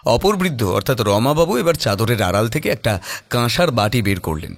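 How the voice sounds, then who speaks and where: A man's voice: medium pitch, very animated, a little fast, creaky, no echo. A man narrating a scripted horror audio story in a suspenseful, dramatic manner.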